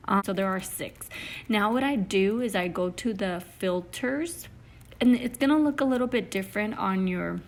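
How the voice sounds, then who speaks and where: A young woman with a Spanish accent, chatting as she explains her eBay reselling steps and checks in with "okay?". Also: mid-range voice. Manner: chatty, often adds "you know" and "okay?". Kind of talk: casual how-to vlog. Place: living room with a couch, indoors.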